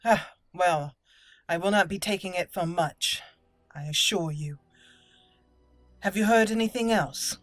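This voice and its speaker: A woman voicing a proud, courteous young elf mage from a fantasy game, with formal, measured phrasing. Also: on the low side, no room sound, faint music behind, lightly acted. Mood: curious, wry.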